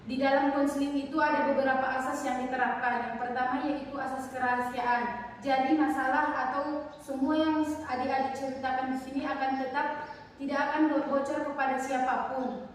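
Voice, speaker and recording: A young woman playing a group counselor, calmly explaining the rules of the session to a group of students. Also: high voice, a little fast. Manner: calm, instructive, addresses group as "adik-adik". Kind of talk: role-played counseling session. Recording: echoey room from far off, some noise.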